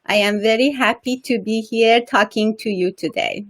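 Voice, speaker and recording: A woman putting on an exaggerated Persian accent, speaking slowly and carefully with a sing-song lilt. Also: fairly high pitch, fairly even intonation, close to an everyday voice. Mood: playful.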